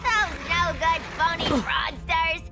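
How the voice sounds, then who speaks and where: A woman playing a brash, slangy female clown villain in an animated video game, talking excitably. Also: very high voice, slow pace. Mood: indignant, hopeful. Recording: loud music and effects behind.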